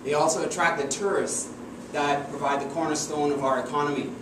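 A man's voice: fairly high pitch, steady tone, fairly quick. A young man from the Bahamas giving an earnest, emphatic memorized talk as a doctoral student presenter in a university lecture room. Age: young adult. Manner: earnest, emphatic, standing with open gestures. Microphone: far camera, no visible mic. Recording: echoey room from far off, air-conditioning hum.

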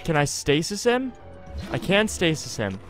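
Animated high-pitched man. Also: unhurried, faint music behind. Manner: animated, chatty, self-correcting. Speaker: host of a gaming series. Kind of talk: casual video game commentary. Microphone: close desktop USB microphone.

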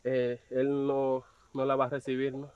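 A young gatekeeper talks in a teasing, mildly mocking way, as an actor plays him, in an ordinary mid-range voice. The sound is dry and a little dull, recorded outdoors. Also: male voice, medium pitch, completely flat delivery, unhurried, clear, resonant tone, no room sound, close to an everyday voice. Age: young adult. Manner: teasing, chuckling. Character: young male gatekeeper servant, mocking but obedient. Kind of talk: web drama acting. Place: outdoors at a farm gate.

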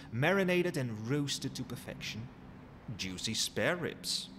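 Man playing a lively, cheeky skeleton butler. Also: mid-range voice. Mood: showy, proud. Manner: formal, servile, theatrical. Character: pun-loving undead skeleton butler, courteous and cheeky. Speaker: butler character in roleplay. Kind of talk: ASMR roleplay acting. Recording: long hall reverb, noisy ambience.

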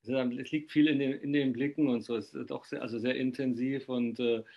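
A man's voice: mid-range voice, fairly even intonation, normal speed, vocal fry. Rambling middle-aged male interviewer, dull audio. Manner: rambling, hedging, many fillers and tag questions. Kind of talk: casual interview questions. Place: living room, indoors. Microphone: video call webcam.

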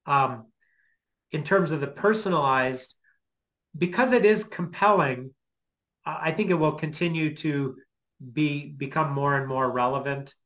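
A middle-aged man giving an informal lecture on a video call, explaining in a hesitant, halting way as he thinks aloud. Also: on the high side, a bit bright, poor audio. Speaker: guest lecturer. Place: small home office, indoors.

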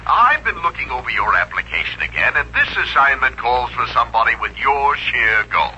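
Flustered, sharp-voiced office manager. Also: male voice, medium pitch, sing-song, fairly quick. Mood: eager, flustered. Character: flustered, eager, persuasive male personnel manager. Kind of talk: 1950s American radio comedy acting. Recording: muffled, noisy vintage radio recording.

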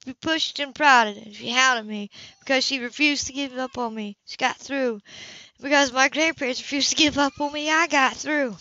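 A woman reader plays a young woman, wounded but unflinching, in an audiobook. Her voice is fairly high and controlled, with a slightly dull home-recorded sound. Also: normal speed, no echo. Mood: resolute. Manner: quiet, matter-of-fact recounting.

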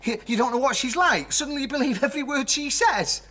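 An actor playing an evasive, controlling estranged husband in a modern radio drama, hesitant and pleading, then sharply ordering. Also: male voice, very high voice, animated, fast, sharp tone, slightly rough, heavily acted. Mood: pleading protest.

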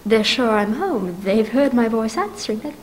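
A woman's voice: a little high, lively intonation, normal speed, natural voice. A young woman acting an imperious, nervous wife in a 1940s-style radio melodrama, stammering and breathless with panicky indignation. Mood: fearful. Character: frantic, imperious, nervous invalid wife on the telephone. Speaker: amateur actor in a stage adaptation of a radio play. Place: dark stage, indoors. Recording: clearly noisy amateur stage recording.

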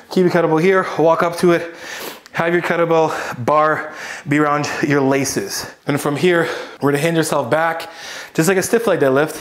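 Man coaching a workout at home. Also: a little high. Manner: relaxed, explanatory, talks while exercising. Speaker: fitness coach. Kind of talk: casual workout instruction. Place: small home gym, indoors.

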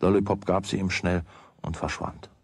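A man's voice: sits low, flat delivery, moderate pace, gravelly, darkish tone, a little hiss or hum. A man narrating a children's radio play as its storyteller, in a scripted storytelling style. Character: storyteller of a boy's everyday adventures.

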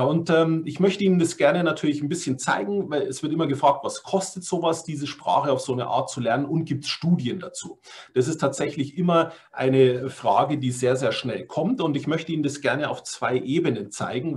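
A middle-aged German-speaking man giving an online talk over slides, explaining in a chatty way with fillers and tag questions. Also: medium pitch. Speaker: language course presenter. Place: indoors, video call setup.

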